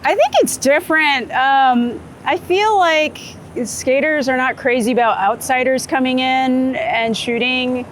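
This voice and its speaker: A woman from Florida with an American accent, chatting casually as an interviewed photographer, talkative and quick to laugh. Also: high-pitched, a bit bright. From United States, Florida. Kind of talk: casual chat while out and about. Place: on location in a city, rooftop, car and street. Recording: outdoor city and vehicle noise.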